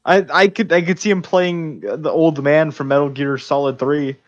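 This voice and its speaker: Slightly muffled, higher-voiced man joking around. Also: normal speed. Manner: joking, teasing. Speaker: podcast host. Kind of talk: casual chat. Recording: slightly dull, lo-fi voice audio.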